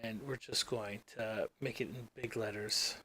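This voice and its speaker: A man's voice: fairly low pitch, fairly even intonation, unhurried, bright, forceful tone, a little hiss or hum. A man hosting his own channel, thinking aloud as he works on his screen, rambling and trailing off into mumbles. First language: English. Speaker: gaming channel host. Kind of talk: casual screen-recording commentary.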